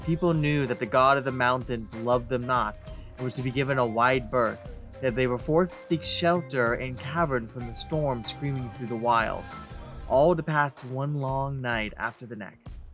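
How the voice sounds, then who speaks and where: A man's voice: mid-range voice, moderate pace, a bit bright, soft background music. A man hosting a let's play, reading game text aloud theatrically and commenting as he goes.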